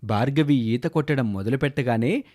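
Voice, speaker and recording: A man's voice: medium pitch, moderate pace, a little mellow. A man narrating a scripted children's story as the storyteller.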